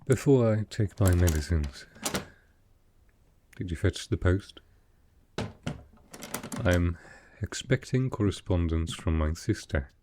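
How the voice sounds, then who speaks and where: A man playing a sickly, proud, melancholy aristocrat in a roleplay audio drama, speaking softly in a formal, measured, dryly reserved way. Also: lively intonation, a little hiss or hum. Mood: dry, resigned. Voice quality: low, soft, with a cough.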